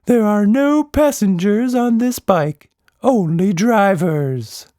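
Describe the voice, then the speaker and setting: A man voicing an excitable, boastful, playful monster with casual, slangy talk in a children's story. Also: sits high, slow pace, no room sound, studio quality, voice pushed up. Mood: pleased, showy.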